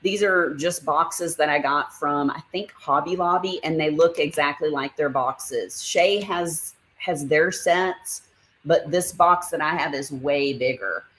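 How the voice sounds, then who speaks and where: A woman hosts a relaxed livestream. She rambles loosely as she shows her supplies. Now and then she reads viewer comments aloud and answers them. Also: deep voice, measured pace, low-quality recording. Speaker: livestream host. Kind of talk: casual livestream chat.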